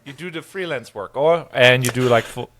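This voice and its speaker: A man's voice: medium pitch, moderate pace, fairly bright tone. A man co-hosting a podcast, asking questions in a loose, rambling way with many fillers. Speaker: podcast co-host. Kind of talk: casual conversational interview.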